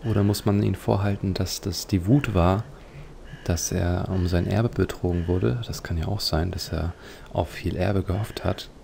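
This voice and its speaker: A man's voice: deep voice, fairly even intonation, moderate pace, creaky, warm tone, a little hiss or hum. A man hosting a let's play, giving leisurely, reflective commentary on a video game.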